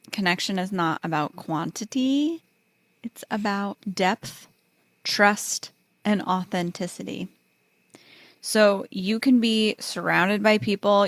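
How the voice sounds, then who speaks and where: A woman's voice: mid-range voice, no echo. A woman hosting a casual podcast chat, explaining research in a chatty, easygoing way. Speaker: podcast host.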